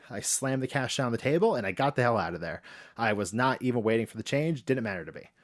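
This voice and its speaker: A man telling a personal spooky story in a casual, emphatic way, swearing freely. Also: mid-range voice. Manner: emphatic, profane.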